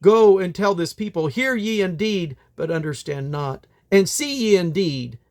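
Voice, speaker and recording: Man proclaiming as a high, loud heavenly being. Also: expressive. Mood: stern, commanding. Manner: loud, declamatory, drawn-out proclaiming. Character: seraph and God, commanding heavenly voice proclaiming solemnly. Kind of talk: dramatic scripture reading.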